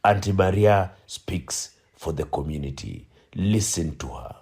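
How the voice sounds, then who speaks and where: A man's voice: low-pitched, lively intonation, a little slow, music in the background. A man playing a grave narrator, solemn and theatrical, delivering scripted radio drama narration. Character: grave, dramatic male narrator of a road tragedy.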